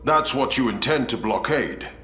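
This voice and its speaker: A man's voice: mid-range voice, lively intonation, moderate pace, bright, forceful tone. A man playing a resolute space marine commander in a video game, speaking formally and with authority. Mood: wary, measured. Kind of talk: dramatic video game cutscene acting. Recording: echoey, faint background noise.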